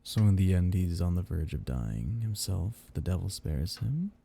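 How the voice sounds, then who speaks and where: A man playing a seductive, possessive vampire captor in an intimate ASMR roleplay, teasing and condescendingly tender in a breathy, gravelly murmur. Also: low-pitched, sing-song, normal speed, warm tone, exaggerated voice. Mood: amused, indulgent.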